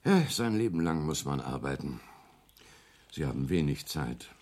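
An actor in a radio play, playing a courteous, busy family doctor who speaks in a polite, measured way. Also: male voice, rumbling low, very animated, a little mellow, slight background noise, full character voice. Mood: sympathetic. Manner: polite, measured, formal address. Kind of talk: German radio play acting.

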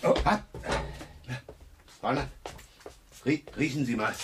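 A man's voice: mid-range voice, expressive, slow pace, background noise. An actor playing a grumbling, wry and brusque male police inspector in a 1970s radio crime drama. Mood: alert.

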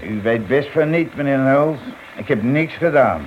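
An actor playing a cheeky, evasive small-time crook in a radio drama, mock-polite and streetwise. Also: male voice, medium pitch, moderate pace, lightly acted. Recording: dull, noisy old radio play recording.

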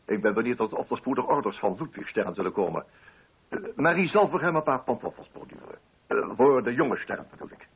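A pompous, middle-aged Dutch coffee broker in a radio drama, with a Netherlands accent. His fussy, emphatic delivery rises and falls in very animated pitch. The voice is somewhat rough, on a muffled, band-limited recording. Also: a little fast. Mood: brisk, self-satisfied. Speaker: narrating lead character.

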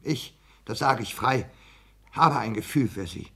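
An actor playing a frail, exalted, lovesick barber in a 1960s German radio play, aggrieved and prone to passion. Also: male voice, medium pitch, lively intonation, measured pace, creaky, a bit bright, husky, full character voice. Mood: impassioned, hurt. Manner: aggrieved, brooding, flares into passion.

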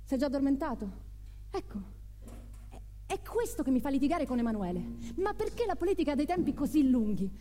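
An actress playing an earnest, homely activist mother in a theatrical confessional monologue, pensive and halting, repeating her phrases. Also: high voice, sing-song, dark tone. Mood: exasperated, questioning. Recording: reverberant hall, music behind.

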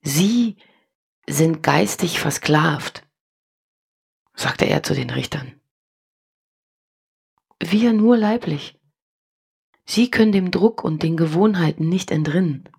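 An actress playing a solemn, remorseful woman reading a formal letter in a radio drama, measured and deliberate, with a husky, creaky voice. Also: deep voice, expressive, a little mellow, heavily acted. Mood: grave, confessional.

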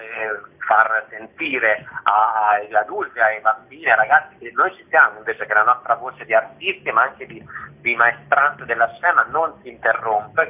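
A man speaks as a guest in a radio interview over the telephone. His voice sits at a medium pitch, and he explains things haltingly, repeating words as he goes. The line is thin and band-limited. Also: husky. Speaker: theatre company member, interview guest. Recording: muffled, tinny phone line.

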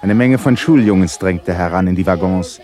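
A man narrating a literary radio drama in a solemn, measured storytelling manner. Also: low-pitched, darkish tone.

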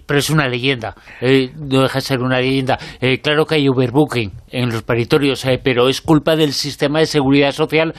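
A man's voice: mid-range voice, steady tone, brisk, hardly any pauses, dry recording, clean studio sound. A man with a Spain Spanish accent hosting a radio show, steering the talk and joking. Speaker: host. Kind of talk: casual chat.

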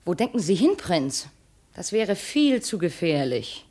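Arch, lively young false princess. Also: female voice, lowish voice, unhurried. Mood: coy, commanding. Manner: arch, teasing, commanding. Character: shrewd young slave woman coolly posing as a princess. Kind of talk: 1950s radio play acting.

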